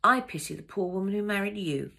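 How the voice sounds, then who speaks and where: Narrator doing a curt young woman in a story, grieving and tense, creaky husky voice. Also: medium pitch, lively intonation, fast talker, sharp tone, pitched above the performer's own voice, full character voice. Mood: furious. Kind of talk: audiobook character dialogue.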